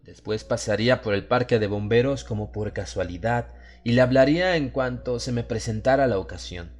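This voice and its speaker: A man narrating a horror story in the first person, with a measured, literary, dramatic delivery. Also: mid-range voice. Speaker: audiobook narrator. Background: music under the voice.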